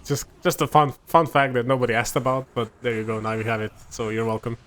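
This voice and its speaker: Young Serbian man, native Serbian speaker, easygoing game commentator, mid-pitched voice, loose and chatty delivery. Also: quick pace. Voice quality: relaxed, chatty, many fillers and restarts. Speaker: gameplay commentator. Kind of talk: casual gameplay commentary.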